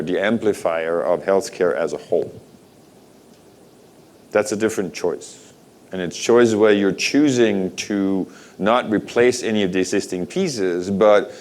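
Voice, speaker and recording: Danish-born man giving a keynote talk. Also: deep voice. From Denmark. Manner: rhetorical questions to the audience, conversational. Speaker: conference speaker.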